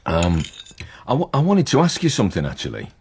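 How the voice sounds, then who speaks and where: An actor playing a polite, bothered policeman and family man, husky and hesitant, in a radio drama. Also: lowish voice, wildly swooping pitch, a little fast, a little mellow, a little hiss or hum. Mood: awkward, baffled.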